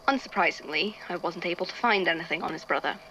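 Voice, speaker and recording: A woman's voice: lowish voice, quick pace, bright, forceful tone, a little hiss or hum, poor audio. A woman playing an irritable, bookish male archivist in an audio drama, speaking hesitantly into a recorder and thinking aloud. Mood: pensive, dry. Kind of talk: audio drama narration, recorded case notes.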